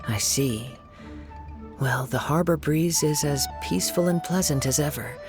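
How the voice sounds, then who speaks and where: A man voicing a gentle, poetic young male wanderer in a video game, soft, calm and politely measured. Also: fairly high pitch, music in the background. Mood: calm, reflective. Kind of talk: animated video game voice acting.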